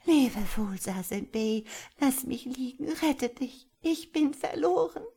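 A woman voicing a gentle, devoted young girl in a fairy tale, plaintive and frail. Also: high-pitched, expressive, slow pace, breathy edge, voice pushed up, exaggerated voice. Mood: faint, resigned.

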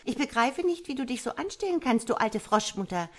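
A woman's voice: on the high side, animated, a little fast, voice pushed up. A woman voicing a smug, carefree young female tadpole in a storybook, pert and dismissive.

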